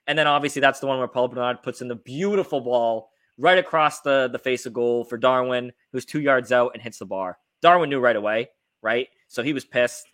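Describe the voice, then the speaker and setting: A man with a bright, forward voice hosts a casual football discussion. His pitch sits in an ordinary middle range. He talks informally, as a fan among friends on a podcast. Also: brisk. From United States. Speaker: sports podcast host.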